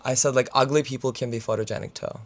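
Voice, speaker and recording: A young man in his twenties, a student, chatting casually to camera in a rambling vlog, full of fillers, his voice raspy right now. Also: mid-range voice, steady tone, quick pace. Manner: filler-heavy, talks with his hands. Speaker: student vlogger.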